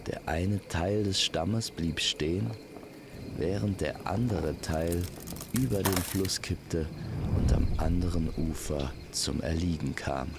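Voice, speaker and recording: A man telling a scripted fantasy story as an immersive campfire narrator, speaking gently and poetically to the listener. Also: low-pitched, background noise. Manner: slow, gentle, poetic delivery. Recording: constant night insect and nature ambience. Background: crickets and forest night sounds.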